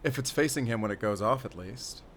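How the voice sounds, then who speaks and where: Man acting a measured, battle-worn werewolf hunter. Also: mid-range voice, a bit bright, a little hiss or hum, lightly acted. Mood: focused. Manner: measured, deliberate, pausing between short phrases. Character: battle-worn male werewolf hunter, calm, wry, quietly tender. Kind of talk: cinematic audio roleplay acting.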